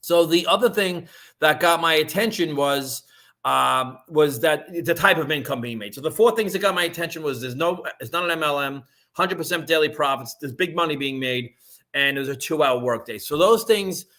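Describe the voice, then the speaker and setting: A man, talking casually on a live stream and pitching an online business. He rambles, restarts often and keeps checking in with "right?". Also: normal speed, sharp tone. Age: 50s.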